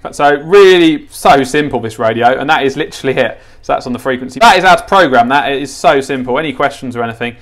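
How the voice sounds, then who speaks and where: A man's voice: medium pitch, fast talker, hardly any pauses. A man giving a casual, unscripted hands-on tutorial, informal, repeating himself and thinking aloud as he goes. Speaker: presenter of a gadget how-to. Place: indoors, tiled kitchen counter.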